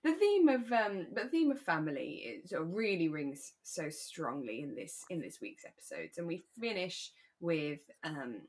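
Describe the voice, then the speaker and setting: A British woman co-hosting a podcast in a relaxed, chatty and reflective discussion of a radio drama. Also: fairly low pitch, moderate pace, hardly any pauses. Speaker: podcast co-host.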